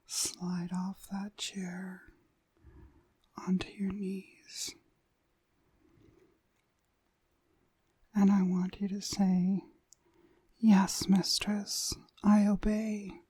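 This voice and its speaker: Woman playing a slow, whispering, seductive witch. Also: mid-range voice, little pitch movement, dark tone, no room sound, exaggerated voice. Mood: commanding, dominant. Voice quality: breathy, whispery. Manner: slow, deliberate, commanding, lulling. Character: seductive, commanding witch hypnotist, dominant and possessive. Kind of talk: ASMR roleplay, slow hypnotic whispering. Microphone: close, dry microphone.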